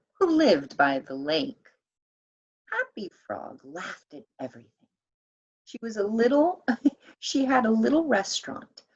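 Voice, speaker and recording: A woman reading a children's story aloud in an expressive, deliberate manner with dramatic emphasis. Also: fairly high pitch, breathy edge. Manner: animated, deliberate, dramatic emphasis for young listeners. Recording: dull, lo-fi sound.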